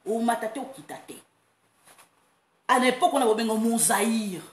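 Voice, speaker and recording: A woman from France hosting a casual online monologue, animated and quick to laugh, breaking into playful chants. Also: fairly high pitch. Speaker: online talk host. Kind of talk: casual monologue, mixing Lingala and French. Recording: roomy echo, faint background noise.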